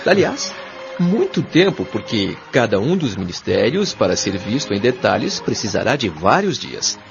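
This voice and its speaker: A Brazilian man playing a kindly, patient spirit guide in an audio drama, explaining things in a measured, didactic, carefully enunciated way. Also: lowish voice, very animated, creaky, heavily acted. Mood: reassuring. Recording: dull lo-fi audio, music behind.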